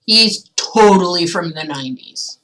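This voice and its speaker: A woman's voice: lowish voice, expressive, measured pace, over music, rough recording. A woman from Canada giving casual, wry and sarcastic commentary as she streams a game.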